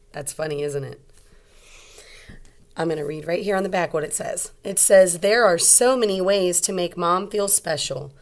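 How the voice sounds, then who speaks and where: Female teacher, slightly low register, children's read-aloud lesson, simple explanations and frequent questions.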